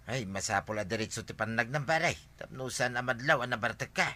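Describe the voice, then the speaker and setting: A man's voice: mid-range voice, lively intonation, brisk, pushed and bright. A man playing a conniving, bossy drinking buddy in a village comedy radio drama, with an Ilocano accent and an emphatic, coaching manner. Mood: bossy, urging.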